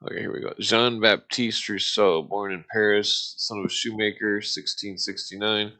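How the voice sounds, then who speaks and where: A man reading aloud casually on a live stream, halting and stumbling over formal text, with muttered asides. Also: lowish voice, fairly even intonation, bright, forceful tone.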